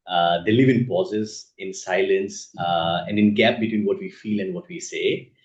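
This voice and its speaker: A man from northeast India with an Indian accent, giving reflective, thoughtful interview answers as an author, measured and pausing mid-sentence. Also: low voice, steady tone. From Assam, northeast India. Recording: echoey room, dull muffled sound.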